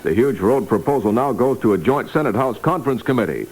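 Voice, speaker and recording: A male news anchor from 1960s radio reads scripted copy. His mid-pitched voice swings widely in intonation, on a vintage AM aircheck recording. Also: expressive, quick pace, darkish tone, husky, rough recording.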